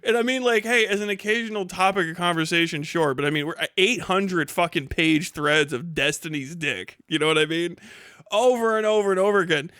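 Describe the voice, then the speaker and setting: High-voiced man ranting on a livestream. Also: fairly quick, clear, resonant tone, dry recording, clean studio sound. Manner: profane, mocking, animated. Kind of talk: casual livestream rant to chat.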